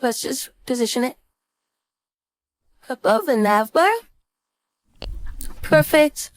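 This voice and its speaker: A woman instructor giving a casual screen-share software tutorial, informally thinking aloud step by step into a close mic. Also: sits high, animated, slow. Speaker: design instructor.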